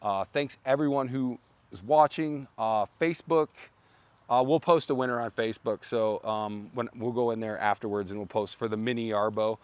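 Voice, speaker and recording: A man hosting a casual brand livestream outdoors, rambling with many fillers and restarts, his voice going hoarse. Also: medium pitch, normal speed, no echo. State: losing his voice. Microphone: phone.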